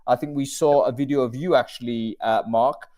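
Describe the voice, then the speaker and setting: A man's voice: medium pitch, fairly even intonation, brisk, mellow. A man hosting a casual video-call chat, talking in a rambling, self-deprecating way. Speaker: host.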